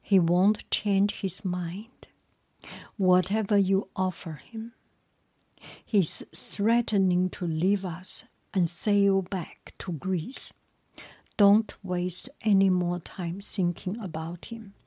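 A woman's voice: mid-range voice, steady tone, slow pace, dark tone, breathy edge, no room sound. A woman whispering the lines of a shrewd, persuasive middle-aged Greek king in a storybook reading. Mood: pleading.

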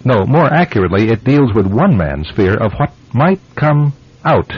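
A man hosting and narrating a scripted radio drama in a dramatic, deliberate storytelling manner. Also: mid-range voice, sing-song, husky, no room sound. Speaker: host and narrator. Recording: muffled old radio broadcast, faint music.

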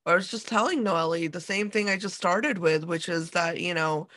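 A woman's voice: fairly low pitch, normal speed, a bit bright, no room sound. A woman hosting a skincare podcast, enthusiastic and rambling with fillers as she introduces her guest. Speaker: podcast host. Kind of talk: podcast interview.

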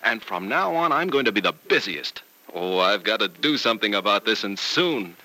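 An actor playing an eager, lovestruck doctor in a vintage radio serial. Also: male voice, on the low side, animated, normal speed, bright, forceful tone, poor audio. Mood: elated. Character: eager lovestruck male hospital doctor, gushing and earnest. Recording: old radio recording.

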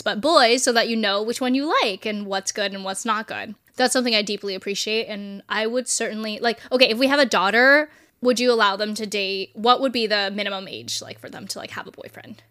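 A woman's voice: on the high side, pushed and bright. A young woman chatting casually as a podcast co-host, playful and outspoken. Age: young adult. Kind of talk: casual chat.

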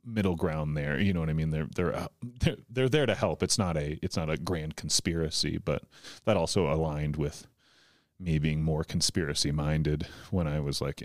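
A man's voice: very deep voice, no room sound, clean studio sound. A man in his thirties, a comedian guest, talking casually in a rambling way with lots of likes and restarts. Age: 30s. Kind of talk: casual podcast conversation.

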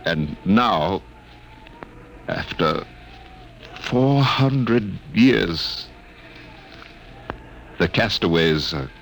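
An actor playing a shaken, weary man who gravely insists on an incredible story in a halting 1950s radio drama style. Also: deep voice, animated, slight background noise, exaggerated voice. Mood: weary awe.

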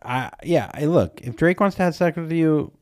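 A man's voice: on the high side, animated, normal speed, darkish tone. A young man co-hosting a podcast, chatting casually, telling loose stories, teasing and swearing freely. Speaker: podcast co-host. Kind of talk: casual chat.

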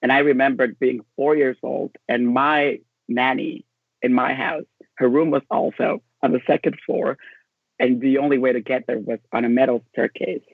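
A man's voice: mid-range voice, breathy edge, no echo, phone-quality sound. A man from Honduras speaking as a guest film critic, conversational and personal, over a thin remote connection. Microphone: remote call.

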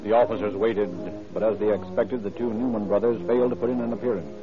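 A man narrating an old radio crime drama in a formal, measured storytelling manner. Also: lowish voice, fairly even intonation, lightly acted. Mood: grave, matter-of-fact. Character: male narrator of a true-crime police drama. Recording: dull old radio recording, music behind.

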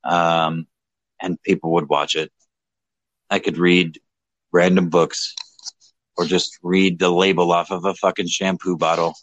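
Low-voiced young man, rambling and tipsy. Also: level tone, measured pace, long pauses, a bit bright, no echo, low-quality recording. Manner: rambling, swears often, self-mocking. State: slightly drunk. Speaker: livestream co-host. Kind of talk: casual commentary chat.

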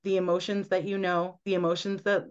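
A woman's voice: fairly low pitch, level tone, fairly quick, clear, resonant tone, dull audio. A woman guest on a podcast, earnestly explaining at length and often checking in with "right?". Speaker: guest, medical intuitive.

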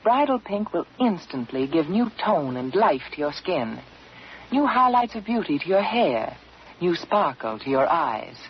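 A woman giving a polished, emphatic scripted sales pitch in the style of a 1940s radio advertisement. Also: very animated, a little slow. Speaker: commercial spokeswoman. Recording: muffled, noisy old radio recording.

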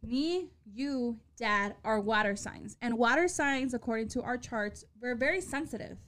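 A woman's voice: a little high, animated, measured pace, fairly bright tone. A woman co-hosting a casual chat, playful and swearing, switching between English and Spanish. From Mexican-American family. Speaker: podcast co-host.

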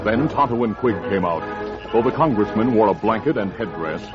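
A man's voice: lowish voice, animated, a little fast. A man narrating a western adventure in a dramatic, scripted 1940s radio style. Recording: muffled old radio recording with music.

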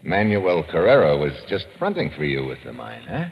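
An actor playing a tough, wry adventurer in an old radio drama, talking in terse, hard-boiled slang. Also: male voice, huge pitch swings, moderate pace, vocal fry, full character voice. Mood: wry, dry. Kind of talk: 1950s radio drama acting. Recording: old radio recording, muffled, boomy reverb, hiss.

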